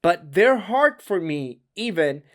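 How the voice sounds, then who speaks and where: Higher-pitched man with a Spanish accent. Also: sing-song, takes it slowly, fairly bright tone. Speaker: actor giving a voice and diction lesson. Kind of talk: casual instructional talk to camera.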